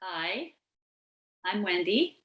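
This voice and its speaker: A woman introduces herself with wry humour and frequent laughter. Her pitch is middling, and her voice rings in a roomy, echoey space. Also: big pitch swings, drawn-out words, fairly bright tone, dull audio. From Cowichan Valley, Canada. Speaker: participant.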